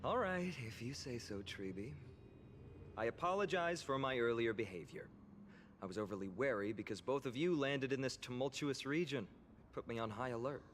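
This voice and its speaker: An actor playing a cautious, earnest young male warrior in a video game, speaking in a polite, measured way. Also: medium pitch, slight background noise, close to an everyday voice. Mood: sheepish, apologetic. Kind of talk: scripted video game character acting. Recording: game music behind the voice. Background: game music.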